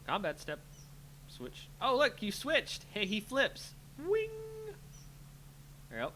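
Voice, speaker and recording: A man's voice: high-pitched, huge pitch swings, slow pace, sharp tone, slight background noise. A man giving casual live commentary on a game he is playing, talking to himself playfully as he thinks. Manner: muttering to himself, self-talking, playful sing-song filler. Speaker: host of a card game channel, playing.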